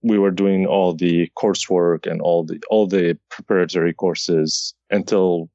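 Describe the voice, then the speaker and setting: A man's voice: low-pitched, steady tone, unhurried, dark tone, dry recording, lo-fi sound. A man in his thirties from Syria, a pharmacist answering podcast interview questions in a conversational way, often restarting his sentences. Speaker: pharmacy informaticist guest.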